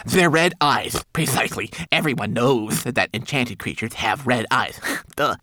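A man voicing a tiny, excitable homunculus in an audiobook, anxious and snippy, with a shrill, strained voice. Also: a little slow. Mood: nervous. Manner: agitated, stammering, pleading. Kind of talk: audiobook character voice.

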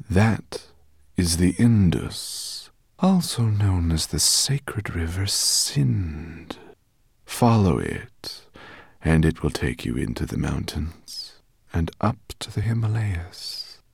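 A man voicing a huge ancient female sea serpent in an audiobook, speaking slowly with a hissing, measured manner. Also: low voice, gravelly, breathy edge, dry recording, studio quality, exaggerated voice. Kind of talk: audiobook character voice.

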